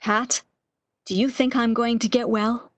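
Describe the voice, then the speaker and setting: A woman's voice: a little high, flat delivery, a little slow, no room sound, close to an everyday voice. A woman playing a frail, fearful, lovesick woman, pleading emotionally in an amateur radio drama. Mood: yearning.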